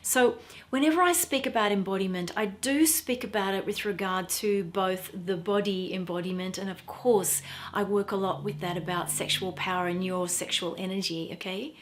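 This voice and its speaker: A middle-aged woman with a quiet, soft voice, talking earnestly to camera as a teacher, wearing a clip-on mic. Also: medium pitch. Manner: earnest, emphatic, repeats for stress. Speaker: feminine empowerment teacher. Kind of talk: direct-to-camera talk.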